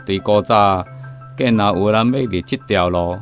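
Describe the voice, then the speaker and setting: An actor playing a stern, pious Buddhist guide, speaking solemnly in a Taiwanese radio drama. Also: male voice, fairly low pitch, soft background music. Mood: stern, instructing. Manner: solemn, measured, admonishing.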